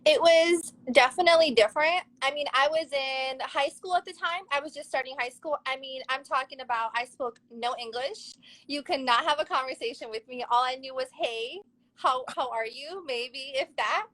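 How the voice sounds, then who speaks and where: High-voiced young woman, chatty. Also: a bit bright, low-quality recording. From Serbia. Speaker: guest, model and actress. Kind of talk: casual interview chat.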